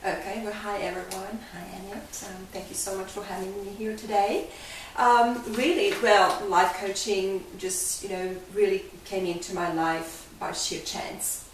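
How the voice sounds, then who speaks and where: A woman's voice: medium pitch, steady tone, unhurried, hardly any pauses. Older Hungarian-accented woman in an echoey room. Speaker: life coach guest. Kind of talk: candid interview. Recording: echoey room, mic far off, faint hiss.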